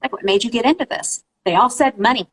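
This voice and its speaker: Brief: chatty, nosy woman co-host, fairly high voice, animated. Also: fast talker. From Washington State, US. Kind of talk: casual livestream chat. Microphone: video call.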